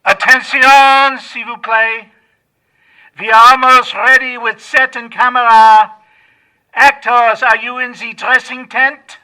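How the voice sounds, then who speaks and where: A man's voice: extremely high pitch, level tone, takes it slowly, sharp tone, smooth, exaggerated voice, low-quality recording. A man playing a fussy film director, making officious announcements. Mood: officious, calling out. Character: fussy French film director calling the set.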